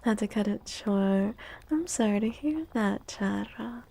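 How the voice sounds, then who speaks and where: A woman livestream host chatting in a soft, gentle ASMR style close to the mic, drifting now and then toward a breathy whisper. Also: medium pitch, unhurried. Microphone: close microphone.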